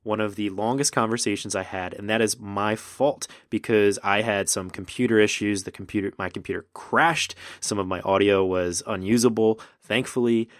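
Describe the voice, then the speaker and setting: Guy hosting a podcast, really low voice, dry close sound, laid back and chatty. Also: moderate pace, few pauses, dry recording. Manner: relaxed, laughs often, filler "uh" and "like". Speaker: podcast host. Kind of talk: casual interview chat. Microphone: remote call recording.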